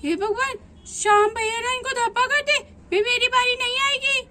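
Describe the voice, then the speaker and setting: A woman voicing a playful little village girl who calls out in an excited, sing-song way. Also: very high voice, moderate pace, pitched above the performer's own voice.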